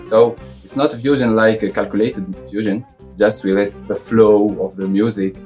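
A man with a Tunisian accent speaking halting non-native English in a casual interview as a musician. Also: on the low side, steady tone, darkish tone, soft background music. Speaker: musician interviewee, oud player and violinist.

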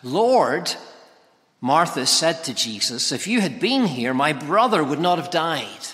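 A man preaching an expository sermon, speaking with emphatic, deliberate phrasing. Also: a little high, sing-song, fairly bright tone. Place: large reverberant church or hall. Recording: hall reverb.

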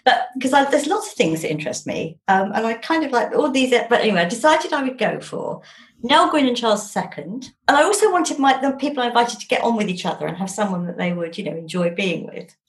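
Woman, roomy echo, chatting away like an interview guest, animated anecdote teller. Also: fairly high pitch, quick pace. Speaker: interviewed novelist. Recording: echoey, roomy sound.

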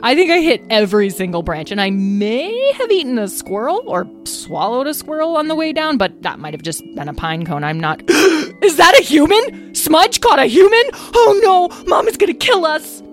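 A woman voicing an excitable, rambling, panicky young male dragon in a children's story. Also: medium pitch, normal speed, few pauses, soft background music, natural voice. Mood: dazed, rambling. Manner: breathless, rambling exclamations.

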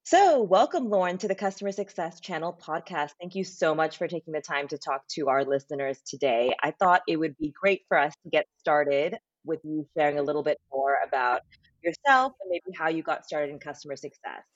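A woman hosts a podcast in an upbeat, clearly read style. Her voice sits very low for a woman. The audio is dry and a bit dull, with no room sound behind her. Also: fairly quick, no echo. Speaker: podcast host. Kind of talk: scripted podcast intro and interview.

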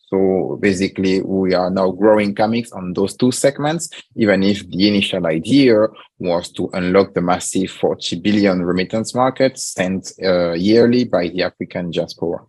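Low husky male founder, measured and filler-heavy podcast guest. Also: darkish tone. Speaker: startup founder and CEO. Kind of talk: podcast interview.

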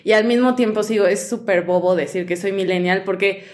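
A woman in her twenties hosting a podcast, talking quickly and casually with lots of fillers. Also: medium pitch, darkish tone. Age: 20s. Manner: quick, chatty, lots of "o sea". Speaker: podcast host.

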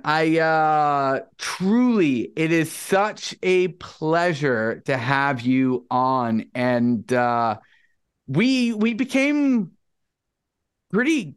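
A man's voice: on the high side, clean tone. A man hosting a podcast, chatting in an upbeat, enthusiastic way with his guest. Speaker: podcast host. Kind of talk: casual interview chat.

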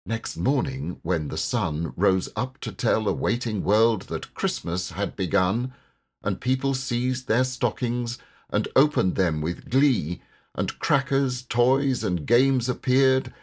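Slow, theatrical man reading verse. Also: fairly low pitch, animated, breathy edge. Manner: measured, theatrical, deliberate phrasing. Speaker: poetry reader and narrator. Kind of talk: expressive storybook verse reading.

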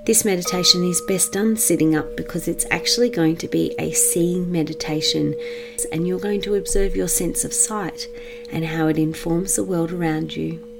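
A woman leading a guided meditation for children in a gentle, measured manner with long pauses. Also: lowish voice, steady tone, vocal fry, music in the background.

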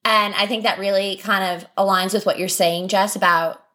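A young woman co-hosting a casual podcast, speaking to her listeners in a heartfelt, encouraging way. Her voice sits in a middle pitch. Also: steady tone, normal speed, sharp tone. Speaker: podcast co-host. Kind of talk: casual chat.

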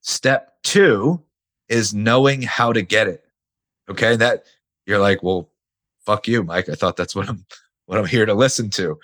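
A man, hoarse and nearly losing his voice, hosting a casual solo podcast and stressing his points with the odd swear. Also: lowish voice, a little slow, pauses often, a bit bright. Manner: casual, emphatic, swears now and then. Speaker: podcast host.

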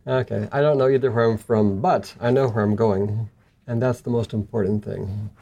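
A man's voice: on the low side, steady tone, normal speed, mellow, highs cut off. A middle-aged man who grew up in southern Africa and Southeast Asia, talking conversationally about himself as an interview guest. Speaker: interview guest, missions journalist. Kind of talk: conversational personal account in an interview.

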